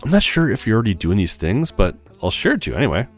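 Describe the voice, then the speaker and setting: A studious, helpful advisor from a visual novel, read out by a man. The delivery is very animated in pitch, with faint music behind it. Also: fast. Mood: instructive. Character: helpful, studious advisor, easily flustered, giving teaching pointers.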